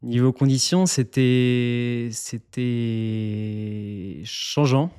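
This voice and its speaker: A man from eastern France with a mid-pitched, mellow voice answers interview questions as a mountain guide. He explains things calmly and steadily, pausing now and then to find his words. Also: smooth. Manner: calm and explanatory, with some hesitations. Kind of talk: interview guest.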